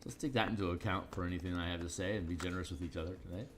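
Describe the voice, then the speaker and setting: Middle-aged guy live streaming his art, deep low voice, kinda tired, lots of ums, faint background noise. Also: steady tone, racing, a bit bright. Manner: rambling, with frequent fillers and restarts. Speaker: illustrator and game designer streaming his work. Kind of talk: casual live-stream talk while working.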